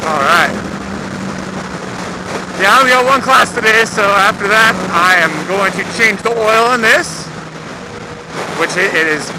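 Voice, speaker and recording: Young American man, high-pitched, over engine noise. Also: measured pace, pauses often. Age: young adult. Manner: laid-back, slangy, swearing. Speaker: motorcycle vlogger. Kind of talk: casual rambling vlog chat. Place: outdoors, riding a motorcycle. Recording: engine, road and traffic noise. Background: engine and vehicle noise.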